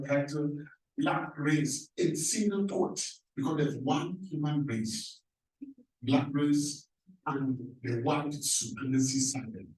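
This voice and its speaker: A man from Cameroon speaks on a panel, pressing his points with emphatic rhetorical questions. His voice sits a little high. He is picked up from some distance in a roomy, echoing hall. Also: darkish tone. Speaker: professor on a panel. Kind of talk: panel self-introduction. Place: large echoey hall.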